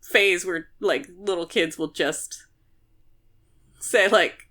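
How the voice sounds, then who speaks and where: A woman's voice: lowish voice, slow, pushed and bright. Woman chatting casually as podcast co-host. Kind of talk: casual chat discussing a novel.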